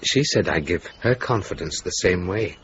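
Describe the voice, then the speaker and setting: A man's voice: low-pitched, expressive, normal speed, a bit bright. A man playing an earnest, hesitant young RAF officer in a radio drama, speaking in a sincere, understated way. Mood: tender, reflective. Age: young adult. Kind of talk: naturalistic radio drama dialogue. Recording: dull, hissy 1980s radio broadcast.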